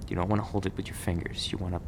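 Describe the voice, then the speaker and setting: A man playing a gruff, guarded agent who trains a rookie in a terse, stern manner in an ASMR roleplay. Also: sits low, flat delivery, rapid-fire, background noise. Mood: stern, patient. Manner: terse, stern instructing, stammers when flustered.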